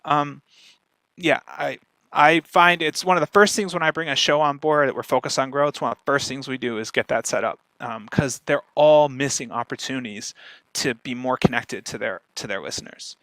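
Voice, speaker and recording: A man's voice: mid-range voice, moderate pace, pushed and bright, no room sound, low-quality recording. A man speaking as a podcast strategist in an informal question-and-answer talk, emphatic and opinionated.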